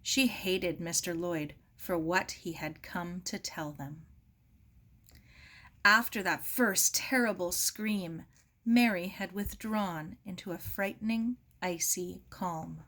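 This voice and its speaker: A woman narrating a novel as an audiobook reader, expressive, deliberate and grave. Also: lowish voice. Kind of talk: dramatic scripted narration of a novel.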